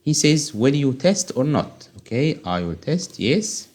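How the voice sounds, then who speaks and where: A man whose first language is Arabic talks a viewer through a software testing quiz and project. He goes step by step, stops to check with "okay?", and keeps an everyday middle pitch. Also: big pitch swings, unhurried. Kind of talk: screen-recorded tutorial walkthrough.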